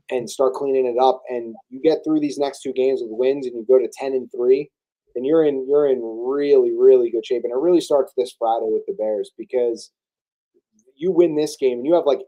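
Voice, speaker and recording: A man's voice: mid-range voice, dark tone, rough recording. A young man co-hosting a sports podcast, talking casually and loosely, often stalling on fillers and restarting his sentences. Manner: hesitant, many restarts and fillers. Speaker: podcast co-host.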